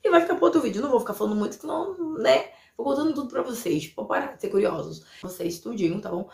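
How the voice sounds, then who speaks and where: Young woman, Brazilian accent, hoarse, gravelly fry, chatty casual vlogger, echoey small room. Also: mid-range voice, expressive, fast talker, darkish tone. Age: 20s. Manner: chatty, informal. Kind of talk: casual chat to camera. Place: small tiled room at home, indoors.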